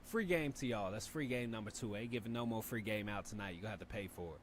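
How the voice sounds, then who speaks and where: Laid-back deep-voiced man giving mixing tips. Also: fast talker, slight background noise. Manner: laid-back, rambling, profane, lots of fillers. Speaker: rap review show host and engineer. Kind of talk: casual spoken feedback and mixing tips.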